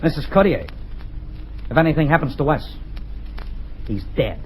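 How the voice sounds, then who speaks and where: A sneering, menacing gangster from an old radio play, his voice pitched a little high and swinging widely as he taunts. A faint hiss sits under the old recording. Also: sing-song, moderate pace, poor audio. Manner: cold, threatening, sneering. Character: menacing male gangster holding a hostage. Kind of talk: 1940s radio acting.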